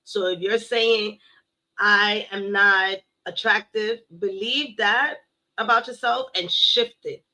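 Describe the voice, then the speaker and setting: A woman giving a casual tarot reading to her viewers, playful and blunt, cursing now and then and slowing down to recite affirmations. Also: medium pitch, fairly even intonation, bright, forceful tone, poor audio. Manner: playful, blunt, swears, addresses viewers as y'all. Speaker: tarot reader.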